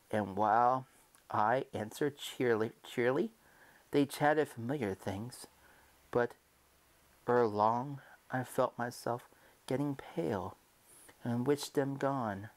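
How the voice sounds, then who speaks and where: A performer voicing a guilt-mad murderer in a dramatic, theatrical reading of a gothic horror tale. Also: medium pitch. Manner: theatrical, swinging from hushed to shrieking. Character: guilt-mad murderer narrating his confession, increasingly frantic.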